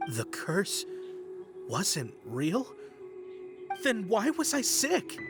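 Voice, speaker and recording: A woman's voice: medium pitch, wildly swooping pitch, extremely slow, music in the background, exaggerated voice. A woman playing a timid, polite and hesitant townsperson in a video game. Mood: bewildered. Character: timid, grateful, superstitious townsperson.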